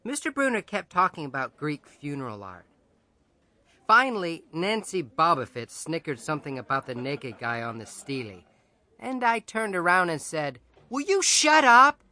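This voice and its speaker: A man reads a first-person children's story in a boy's persona, with a bright tone and very lively pitch. His delivery is conversational and wry, recorded dry and close. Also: normal speed, no echo. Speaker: audiobook narrator. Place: dry studio.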